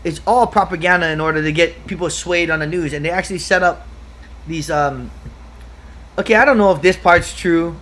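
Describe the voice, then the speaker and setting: A man from Hawaii talking in a casual podcast chat, excitable and profane, rambling from one point to the next. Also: a little high, fairly quick. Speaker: podcast guest.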